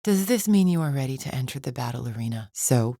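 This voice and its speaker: A proud female alien gladiator for a video game. Her voice sits low, with formal, scornful diction and an impatient, superior temper. The sound is dry and close, free of room echo. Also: expressive, quick pace, mellow, heavily acted. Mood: haughty impatience. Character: haughty, impatient alien warrior woman, proud arena champion. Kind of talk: video game character acting.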